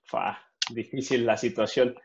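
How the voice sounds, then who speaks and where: A man's voice: mid-range voice, steady tone, measured pace, background noise. A man with a Mexican accent hosting a casual podcast over a video call, friendly and asking questions. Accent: Mexican Spanish. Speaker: podcast host. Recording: slightly dull lo-fi sound.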